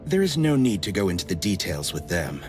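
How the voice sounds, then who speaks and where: An actor playing a brusque, guarded, grumpy man with a soft spot in an anime-style game, speaking curtly. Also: low-pitched, sing-song, brisk, over music. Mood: dismissive. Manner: curt, blunt.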